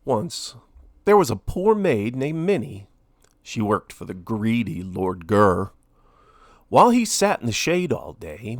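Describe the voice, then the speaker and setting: Slow, animated male storybook reader. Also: lowish voice, no room sound, studio quality. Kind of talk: expressive scripted children's storytelling.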